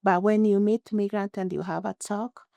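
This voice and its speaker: A woman in her thirties, born in Rwanda, earnestly telling her own story in plain, halting phrasing. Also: medium pitch, little pitch movement, vocal fry, mellow, dry recording. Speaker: interviewee. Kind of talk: personal storytelling.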